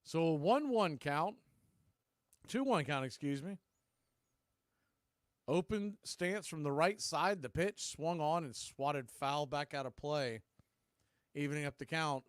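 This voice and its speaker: A man calling a baseball game live in radio play-by-play style, in short clipped phrases between pitches. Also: takes it slowly, long pauses, no room sound, studio quality. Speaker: play-by-play baseball announcer and stream host.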